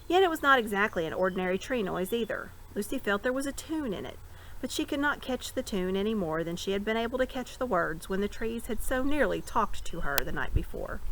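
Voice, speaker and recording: A woman's voice: mid-range voice, big pitch swings, fairly quick. Woman reading a novel aloud, noisy background. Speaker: storybook reader. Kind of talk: expressive read-aloud narration of a novel. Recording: clear background noise.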